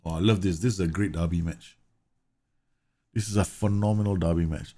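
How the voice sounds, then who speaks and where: A man's voice: low voice, fairly even intonation, brisk, warm tone. A man from Singapore live-streaming a football management game, chatting casually, reading game news aloud and laughing often. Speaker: gaming streamer.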